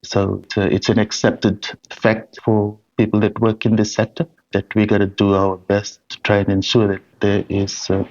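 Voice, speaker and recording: Low flat-voiced man from Fiji. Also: normal speed, hardly any pauses. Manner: hesitant, restarts often. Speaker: humanitarian guest. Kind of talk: remote interview. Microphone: video call. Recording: dull lo-fi call audio.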